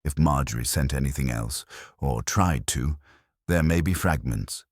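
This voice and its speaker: Man voicing a very deep, deliberate police inspector. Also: breathy edge, no room sound, clean studio sound, heavily acted. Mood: firm, instructing. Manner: measured, deliberate, murmuring. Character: pensive, methodical male English police inspector. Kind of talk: audio detective story dialogue.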